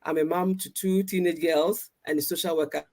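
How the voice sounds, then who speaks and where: A woman's voice: on the low side, fairly even intonation, fairly quick, darkish tone. A friendly woman who laughs as she talks, in a live-stream group chat. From Ghana. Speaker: online business mentor.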